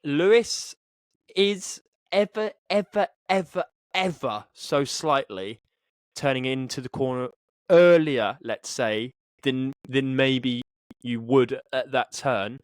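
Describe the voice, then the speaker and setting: Guy cohosting a sports podcast, casual n emphatic, mid pitched voice, really dry sound. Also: slow pace, no echo. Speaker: motorsport podcast co-host.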